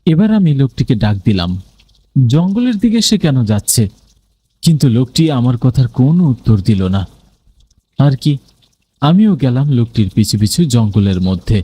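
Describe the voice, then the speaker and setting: A man's voice: medium pitch, very animated, moderate pace, long pauses, warm tone, husky. A man narrating a scripted first-person ghost story in a dramatic, suspenseful manner.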